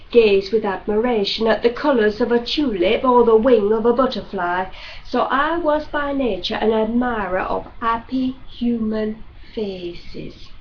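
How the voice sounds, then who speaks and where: Woman reading an old novel aloud, slowish pace, very animated intonation, bit of background hiss on a homemade sounding recording. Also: on the high side. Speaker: audiobook reader. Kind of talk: literary narration of a classic novel. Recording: dull lo-fi recording with faint noise.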